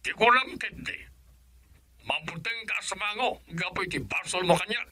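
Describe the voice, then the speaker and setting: A man's voice: high voice, normal speed, bright, forceful tone. A man with an Ilocano accent playing a stern, wrathful older father in a radio drama. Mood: cold, suspicious. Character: stern, wrathful father resentful of his son-in-law.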